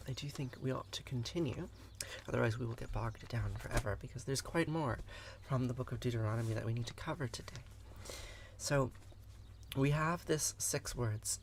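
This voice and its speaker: A man's voice: mid-range voice, creaky, slight background noise. Man chatting while teaching a live stream. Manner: chatty and earnest, often laughing and answering viewers; explanatory, with frequent fillers and restarts. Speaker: livestream host teaching scripture. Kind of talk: live-streamed lesson mixed with chat replies.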